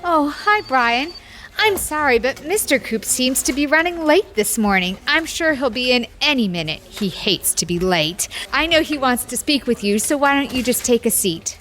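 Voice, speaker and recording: A chirpy, courteous office secretary in an audio drama. Her voice is high, with clear ambient noise around her. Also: expressive, moderate pace, fairly bright tone. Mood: polite, apologetic.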